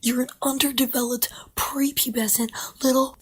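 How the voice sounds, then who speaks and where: A woman voicing an old, wise snapping turtle who talks in a lazy, breathy whisper. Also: high-pitched, steady tone, moderate pace, voice pushed up. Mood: laid-back. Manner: laid-back, drawling.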